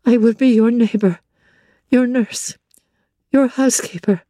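A woman's voice: on the high side, measured pace, warm tone, no room sound, clean studio sound, close to an everyday voice. A woman playing a devoted, independent young woman, earnest and composed, in a classic novel reading. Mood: devoted, firm.

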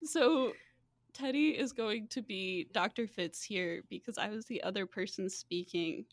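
A woman's voice: medium pitch, a little slow, no echo. A woman hosting a podcast in casual, chatty banter, often giggling as she talks. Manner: giggly, laughs often while talking. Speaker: podcast host.

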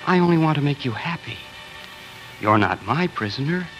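An actor playing a gallant, tender young king in a 1940s radio fairy tale, courtly and gently coaxing. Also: medium pitch, expressive, moderate pace, lo-fi sound, close to an everyday voice. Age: young adult as played. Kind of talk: 1940s radio drama acting. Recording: vintage 1940s radio, faint music behind.

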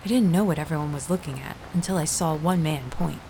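A middle-aged mother who runs and narrates her own story, in a low voice with gravelly fry. She is understated and matter-of-fact, against a noisy outdoor soundscape of sirens and traffic in an audio drama. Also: quick pace, mellow. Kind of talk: first-person audio drama narration and dialogue.

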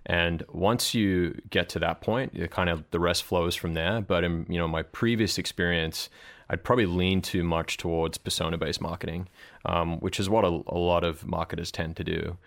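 A man with a very low voice talks through ideas in a relaxed podcast interview. He is thoughtful and explanatory, and often pauses with an um or uh as he works out his point. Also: creaky. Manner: thoughtful, explanatory, frequent ums and uhs. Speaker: guest, marketing director.

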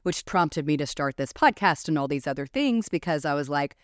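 Clear-voiced middle-aged woman podcast host. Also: low voice, lively intonation, fairly quick, no echo, clean studio sound. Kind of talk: casual conversational interviewing.

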